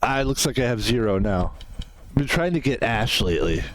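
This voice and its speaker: A man's voice: mid-range voice, animated, fairly quick, slight background noise. A man streaming a video game, chatting casually and rambling, repeating himself and filling with "like" and "you know". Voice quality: describes his own voice as soft. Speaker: gaming streamer. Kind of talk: casual gameplay commentary.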